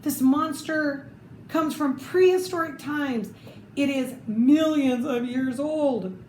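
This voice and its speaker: A woman's voice: high voice, takes it slowly, a little mellow. Woman as a grave mouse professor, echoey room. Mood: solemn warning. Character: grave female mouse professor, earnest scientific mind. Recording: roomy echo, clear background noise.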